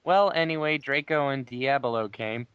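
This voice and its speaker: A man bantering casually and reading fan fiction aloud in a deliberate, wry way. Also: medium pitch, clean tone, lo-fi sound. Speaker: player in a dramatic group reading game.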